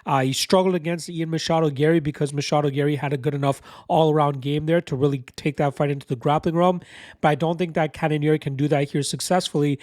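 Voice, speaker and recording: A man's voice: fairly high pitch, fairly even intonation, darkish tone, dry recording, studio quality. A man giving a casual, confident breakdown of fight betting picks, talking through a stuffy nose from a cold. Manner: brisk, confident, bettor's slang. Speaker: MMA betting analyst.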